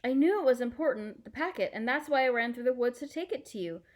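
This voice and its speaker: A woman's voice: fairly high pitch, animated, fast talker, pitched above the performer's own voice, close to an everyday voice. A woman voicing an earnest, curious young girl in a storybook, eager and questioning.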